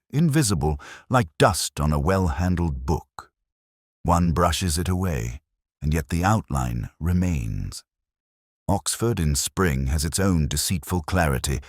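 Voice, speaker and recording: Man playing a very deep, brooding detective inspector. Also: breathy edge, dry recording, studio quality, exaggerated voice. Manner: literary, measured, solemn. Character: melancholy, brooding older male English police inspector, reflective. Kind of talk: scripted first-person radio drama monologue.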